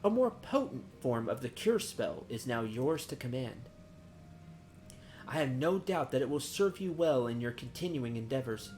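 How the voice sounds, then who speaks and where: A man voicing a calm, wise guildmaster and mentor of nature magic in a fantasy game, formal and measured. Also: medium pitch, a little hiss or hum, close to an everyday voice. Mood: solemn, reassuring. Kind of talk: reading fantasy game dialogue aloud.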